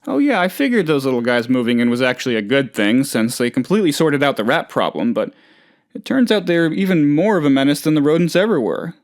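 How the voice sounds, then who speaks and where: A man's voice: on the high side, very animated, quick pace. A man voicing a friendly, insecure, gullible plant boss in a video game, chatty and hesitant in an earnest, folksy way. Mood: sheepish, rambling. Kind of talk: video game character voice lines.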